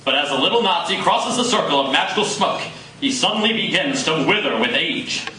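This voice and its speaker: Highish male narrator, echoey live room. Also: expressive, a little fast, bright, forceful tone. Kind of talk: dramatic scripted radio serial narration. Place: live coffee house performance. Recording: echoey live coffee house, distant, noisy.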